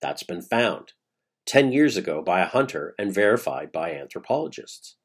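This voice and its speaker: A man with a low, slightly husky voice performs a dramatic monologue. His pitch swings widely as he tells the story conversationally. He leans on key words and leaves pointed pauses. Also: big pitch swings. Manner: emphatic, rhetorical questions, dramatic pauses. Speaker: playwright reading own monologue.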